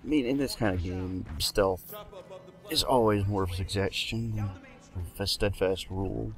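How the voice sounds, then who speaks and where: Dry, low-voiced male co-commentator. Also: measured pace, soft background music. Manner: dry, wry sarcasm. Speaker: Let's Play co-commentator. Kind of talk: casual gameplay commentary. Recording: game gunfire behind the voice. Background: video game gunfire and clicks.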